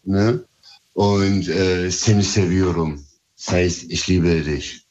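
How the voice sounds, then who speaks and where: Berlin guy, turkish is his first language, slow and halting, lots of ums, low voice, kinda dull lo-fi sound. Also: steady tone, darkish tone. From Berlin, Germany. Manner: halting, many fillers and restarts. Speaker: guest, DJ and producer. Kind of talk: casual interview chat.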